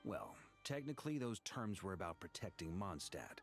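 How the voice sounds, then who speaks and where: An actor voicing a seasoned, pragmatic knight commander in a video game, talking in a measured, informal way. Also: male voice, sits low, sing-song, soft background music. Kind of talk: scripted video game dialogue acting.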